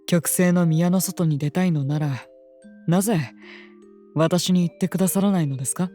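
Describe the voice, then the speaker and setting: A woman playing a composed, devoted royal attendant in an audio drama, speaking politely and formally with gentle admonishment. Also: lowish voice, faint music behind, lightly acted. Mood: tender, earnest. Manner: polite, measured, formal speech. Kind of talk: scripted audio drama acting.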